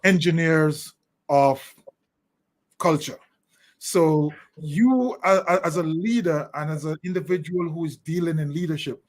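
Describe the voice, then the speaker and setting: A middle-aged man with a Jamaican accent giving thoughtful, deliberately phrased answers as an interview guest. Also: fairly high pitch. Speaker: interview guest, leadership consultant.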